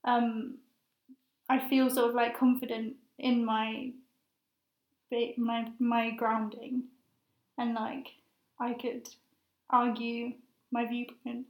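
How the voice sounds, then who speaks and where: A young woman in her twenties with a high voice, a master's student answering interview questions in a hesitant, thoughtful way with many fillers. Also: little pitch movement, slow, pauses often. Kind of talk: casual conversational interview. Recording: roomy echo.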